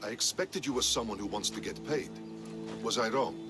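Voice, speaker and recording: A man's voice: medium pitch, fairly quick, music in the background, natural voice. A man acting a stern, proud and scheming Athenian general in a video game, with a commanding, curt manner. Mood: curt, dismissive. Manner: commanding, curt, self-important. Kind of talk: scripted video game dialogue.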